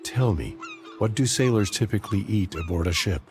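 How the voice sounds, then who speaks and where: An actor playing a grave, courteous male traveler and healer in a video game, speaking in formal, measured phrases. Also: on the low side, animated, darkish tone, soft background music, natural voice. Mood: calm, courteous. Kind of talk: video game cutscene acting.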